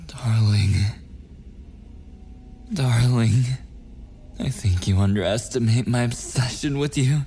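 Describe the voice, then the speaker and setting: An actor playing a possessive, obsessive and menacing young boyfriend in an intimate ASMR audio roleplay, slipping into breathy whispers. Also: male voice, mid-range voice, slow pace, long pauses, dark tone, a little hiss or hum. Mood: wounded devotion.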